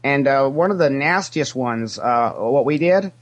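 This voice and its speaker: A man's voice: medium pitch, lively intonation, unhurried, dull audio. A man playing a cheerfully shameless, greedy Ferengi alien money investigator, talking in a hesitant, rambling, matter-of-fact way in an improvised interview. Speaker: interview guest. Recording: internet call connection.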